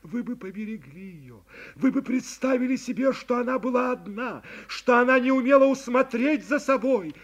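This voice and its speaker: A man's voice: very high voice, normal speed, hardly any pauses, pitched above the performer's own voice. A man voicing a fragile, heartbroken young woman in an audiobook, her speech tearful and climbing into anguished pleading. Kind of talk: audiobook reading of classic prose.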